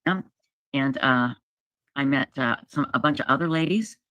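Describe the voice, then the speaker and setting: A woman's voice: extremely low pitch, normal speed, fairly bright tone, no room sound. A woman guest on a livestream telling a story casually, with lots of fillers and restarts. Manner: rambling, filler-heavy, apologetic.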